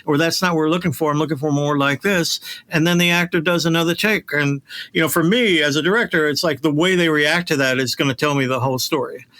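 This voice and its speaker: A man's voice: on the high side, fast talker, sharp tone. A man who works as a voice director and hosts, talking casually and informally in an interview. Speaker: voice director and podcast host.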